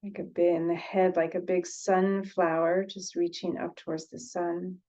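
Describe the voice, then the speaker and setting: A woman guides a meditation in a lowish, soft-edged, mellow voice. She speaks very slowly and gently, pausing often and repeating phrases as she goes. The sound is a little dull and lo-fi. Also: little pitch movement. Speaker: meditation teacher.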